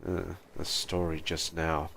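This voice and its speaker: A man's voice: extremely low pitch, little pitch movement, unhurried, a bit bright. A man doing casual live commentary as a game streamer, muttering and musing aloud over faint game noise.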